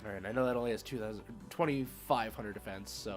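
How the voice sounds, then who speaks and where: A man's voice: lowish voice, fast. A man streaming an online card game, thinking aloud in casual, chatty play-by-play with slangy asides. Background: music playing behind the voice.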